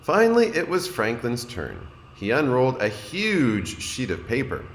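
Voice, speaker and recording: A man, a kindergarten teacher, reading a storybook aloud to young children in an expressive, deliberate way and addressing his listeners. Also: mid-range voice. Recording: roomy echo, noticeable background noise.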